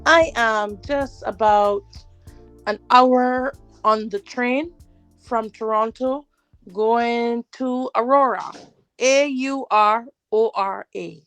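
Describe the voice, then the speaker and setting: A woman's voice: fairly high pitch, takes it slowly, fairly bright tone, clear, resonant tone, dry recording, faint music behind, low-quality recording. A middle-aged Barbadian woman, a guest in a casual interview, talking hesitantly with many fillers and restarts.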